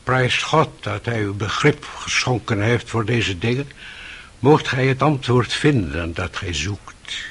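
An actor playing a grave, compassionate elderly monk in a radio drama, speaking solemnly and with gentle admonition. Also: male voice, mid-range voice, expressive, measured pace, husky, full character voice. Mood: solemn blessing. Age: older.

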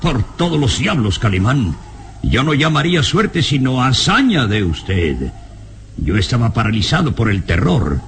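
An actor playing an excitable, easily alarmed professor who speaks in emphatic exclamations in a melodramatic radio serial. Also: male voice, mid-range voice, a little slow. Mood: amazed, relieved. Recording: very noisy old radio serial recording.

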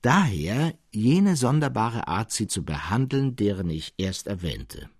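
A man reading a classic literary tale as scripted narration, in an expressive, precise storytelling manner. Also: lowish voice, a little slow, breathy edge, no room sound, clean studio sound. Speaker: audiobook narrator.

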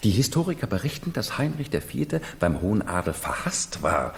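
Deep, creaky, theatrical mock-court retainer. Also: male voice, big pitch swings, normal speed. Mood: explaining, resigned. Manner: theatrical, declamatory, explaining. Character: world-weary hired retainer in a madman's mock imperial court. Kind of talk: 1970s German radio play acting. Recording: reverberant hall, 1970s radio production.

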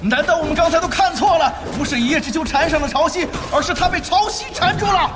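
A man playing an excitable, emphatic esports play-by-play commentator in an audio drama, prone to dramatic exclamations. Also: very high-pitched, fast talker, roomy sound, over loud music. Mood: thrilled, urgent. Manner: emphatic, exclamatory, building to shouts.

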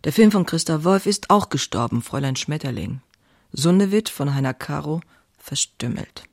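A woman's voice: low voice, lively intonation, moderate pace, darkish tone. An actress playing an outspoken, passionate woman writer who confides in her diary in an intimate, wry way. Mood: intimate, restless. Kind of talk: dramatized diary reading, scripted narration.

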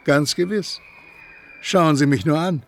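A man's voice: on the high side, measured pace. An actor playing an intense, sardonic man who presses another with insistent, mocking questions in a German radio drama.

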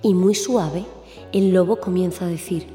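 A woman narrates a children's story in a mellow, dark tone. The sound is roomy with long reverb, over faint music. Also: on the low side, moderate pace. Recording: echoey, reverberant room.

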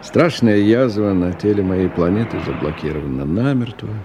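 A man's voice: on the low side, lively intonation, normal speed, lightly acted. An actor playing a weary, cynical middle-aged businessman in a radio drama, with a wry, sardonic delivery. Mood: bitter, musing. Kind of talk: radio drama acting, monologue and dialogue. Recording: noisy 1990 radio play recording.

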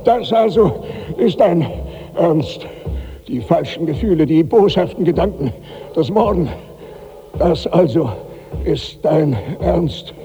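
A gravelly, bitter, mad outcast. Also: male voice, on the high side, lively intonation, mellow. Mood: bitter accusation. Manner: brooding, accusing, halting repetitions. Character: sick, mad, starving outcast, bitter and persecuted. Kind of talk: German 1960s radio drama monologue. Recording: muffled, noisy old radio recording.

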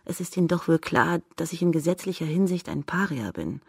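A young courtesan in a radio drama, proud and scornful. Her voice is husky and creaky, recorded close and dry. She speaks frankly, with a cynical, dismissive edge. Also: low-pitched, lively intonation, brisk, dry recording, heavily acted. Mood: calculating, demanding.

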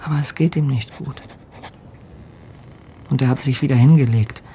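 A man's voice: mid-range voice, normal speed, warm tone, a little hiss or hum, close to an everyday voice. An actor in a German radio drama playing an Arctic seafarer who confides his fears to a diary, hesitant and reflective, over faint background sound. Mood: uneasy, reflective.

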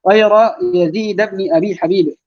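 A man reading classical religious texts aloud as a student, in a rapid recitation style, over an online call. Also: high voice, fairly even intonation, no echo, muffled recording. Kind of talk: rapid recitation of Arabic hadith text.

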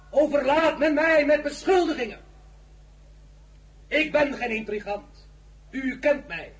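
An actor playing an embattled, defiant general who addresses parliament in grandiose, declamatory phrases in an audio drama. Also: male voice, very high-pitched, heavily acted, poor audio. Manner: declamatory, halting public oratory. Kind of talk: theatrical political speech in audio drama. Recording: loud crowd clamour behind.

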